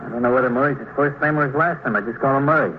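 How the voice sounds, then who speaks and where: A man's voice: medium pitch, racing, close to an everyday voice. An American man playing a talkative, wry laundromat worker in a 1950s radio drama. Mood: wry, chatty. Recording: dull old radio recording, faint noise.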